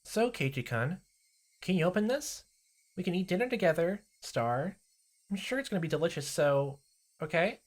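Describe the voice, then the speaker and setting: A man's voice: sits high, animated, normal speed, voice pushed up. A man voicing a clingy, menacing teenage girl in a sing-song pleading way, in a story reading. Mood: eerie coaxing.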